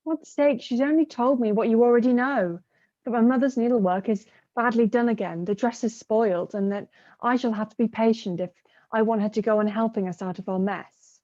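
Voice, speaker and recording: A woman acts as a defiant young daughter in a theatrical play, sardonic and bitter. Her voice is fairly high and soft-edged, heard over slightly muffled video-call audio. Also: a little fast, close to an everyday voice.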